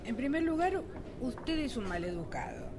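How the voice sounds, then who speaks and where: A woman's voice: sits high, sing-song, normal speed, noisy background. A woman playing a brusque, dismissive public-office clerk in an amateur radio play, curt and scolding.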